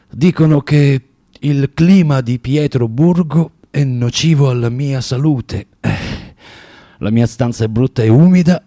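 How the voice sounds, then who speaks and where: An actor playing a bitter, self-loathing recluse in a radio drama monologue, theatrical and halting, feverishly repeating himself in a husky voice. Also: male voice, mid-range voice, dark tone, dry recording, clean studio sound. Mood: bitter, declaiming. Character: bitter, self-loathing, rambling male recluse from Saint Petersburg.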